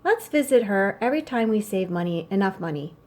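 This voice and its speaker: A woman's voice: medium pitch, animated, brisk, darkish tone, natural voice. A woman voicing a young boy in a children's storybook, a blunt, money-minded and eager little brother. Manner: blunt, matter-of-fact.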